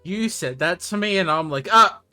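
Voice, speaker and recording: A young man chatting casually on a game stream, relaxed and agreeable, dropping in short fillers. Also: sits high, normal speed, dry recording, soft background music. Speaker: streamer co-host.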